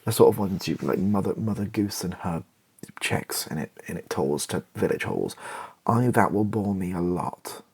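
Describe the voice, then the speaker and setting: A British man doing casual, improvised ASMR, thinking aloud in hesitant fragments with a soft, breathy, partly whispered voice. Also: deep voice, moderate pace. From Britain. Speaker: ASMR video creator thinking aloud while writing.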